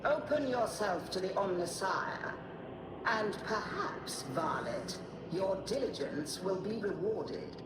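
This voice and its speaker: A woman's voice: medium pitch, animated, slow. A woman voicing a haughty, coldly formal machine-priest in a video game, imperious, precise and pompous, with a metallic filter. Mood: haughty, menacing. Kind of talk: video game cutscene acting. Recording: echoing, heavy noise behind.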